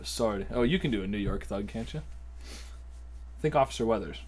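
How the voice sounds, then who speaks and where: A man's voice: fairly low pitch, lively intonation, fairly quick, a crowd in the background. A man in casual, teasing banter during a recording session.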